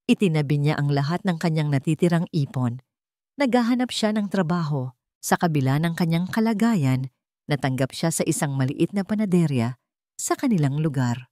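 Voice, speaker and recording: A woman with a Filipino accent reads a dramatic love story as its narrator. Her voice sits a little low for a woman. The recording is dry and close, with little room sound. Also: darkish tone, no room sound.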